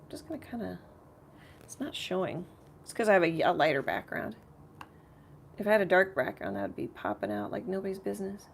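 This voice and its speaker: A woman with a slightly rough voice hosting a casual live painting tutorial, thinking aloud in a rambling way as she works. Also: on the low side, very animated, brisk, pauses often, a little hiss or hum. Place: home art studio.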